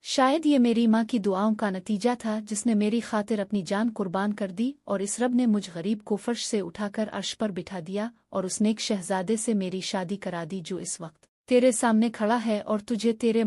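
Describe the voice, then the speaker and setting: Dark-toned, reproachful young princess played by a woman. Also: mid-range voice, moderate pace, few pauses, dry recording, clean studio sound, close to an everyday voice. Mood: grieving, solemn. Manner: declamatory, reproachful. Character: grieving, indignant young princess, once poor. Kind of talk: dramatic storybook cartoon acting.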